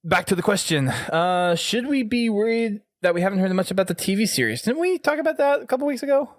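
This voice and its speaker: A man's voice: high voice, expressive, fast talker, dry recording, studio quality. A man hosting a gaming podcast in a casual, conversational way. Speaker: podcast host.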